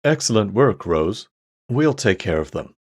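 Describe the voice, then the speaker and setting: A man's voice: lowish voice, big pitch swings, a little slow, slight background noise. A man playing a cold, middle-aged crime syndicate boss in an audio drama, with a calculated, menacing manner. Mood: cold, calculating.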